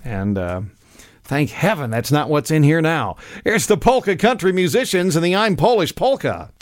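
Male radio host, slightly high pitch, dry studio sound, rambling chatty delivery. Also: expressive, moderate pace, no echo. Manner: rambling, chatty, many fillers. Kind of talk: casual radio chat between records.

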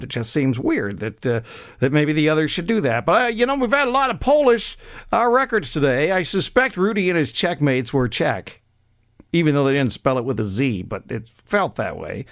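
A man's voice: mid-range voice, no room sound, clean studio sound. A man hosting a polka radio show, chatting casually between records, rambling with lots of fillers.

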